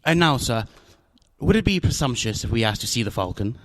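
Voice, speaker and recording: An actor in a radio drama playing a pompous, jovial, cunning older crime boss who talks in a courtly, florid, theatrical way. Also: male voice, mid-range voice, sing-song, brisk, a little hiss or hum, close to an everyday voice. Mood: amused.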